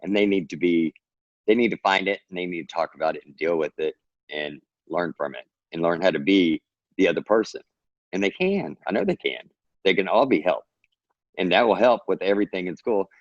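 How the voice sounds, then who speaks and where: A man's voice: low voice, brisk, dry recording, muffled recording. A man from Texas, a guest author talking earnestly and at length in a casual interview, giving encouraging advice.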